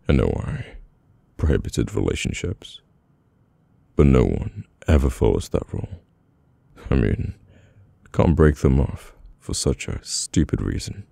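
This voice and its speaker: A man with a British accent playing a brooding, guarded mafia boss in an intimate, halting, murmured ASMR roleplay. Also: a bass voice, creaky, dark tone, no room sound, full character voice. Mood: subdued, wry.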